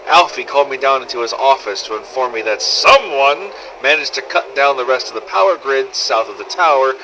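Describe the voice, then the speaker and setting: Guy doing a sweary world-weary zombie survivor logging his diary, sharp forceful voice, old tape sound with a bit of noise. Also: mid-range voice, poor audio. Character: gruff, foul-mouthed zombie-apocalypse survivor, wry and cynical. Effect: old cassette recorder filter. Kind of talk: scripted in-character audio diary narration.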